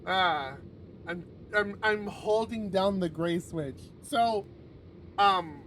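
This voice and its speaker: A man's voice: high-pitched, takes it slowly, a bit bright. A man reading a visual novel aloud on a livestream and adding casual, sweary commentary. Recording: noisy livestream with clear background noise.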